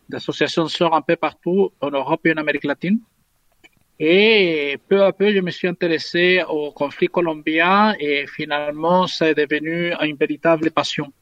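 A man's voice: a little high, fairly bright tone, no room sound. Earnest Chilean-born man on a dull line. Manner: earnest, searching for words. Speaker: guest, activist. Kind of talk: remote interview answers.

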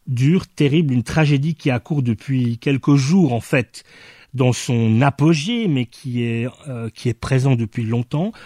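A man hosting an interview, speaking in a measured, deliberate way. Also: medium pitch, warm tone, dry recording, studio quality. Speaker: interviewer, host. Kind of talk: hosted interview.

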